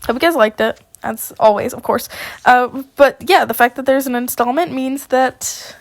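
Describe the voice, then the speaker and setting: A woman who is sick, narrating an amateur audiobook and rambling casually between chapters. Her voice sits a little high with a gravelly rasp. The recording is close and dry. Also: moderate pace, dry recording.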